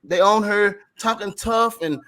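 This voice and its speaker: High-voiced man on a preachy street-talk rant. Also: unhurried, sharp tone. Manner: frequent mild swearing. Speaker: talk show co-host. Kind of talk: casual opinionated rant to co-hosts and audience.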